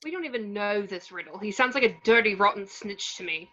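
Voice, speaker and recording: A woman in an amateur table read playing a sarcastic, excitable schoolboy wizard, on slightly dull home audio. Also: medium pitch, brisk.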